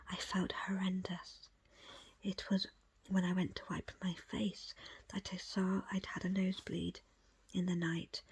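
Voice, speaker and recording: A woman reading a book aloud as ASMR, in a breathy, unvoiced whisper with a slow, hushed storytelling delivery. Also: lowish voice, rough recording. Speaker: audiobook reader.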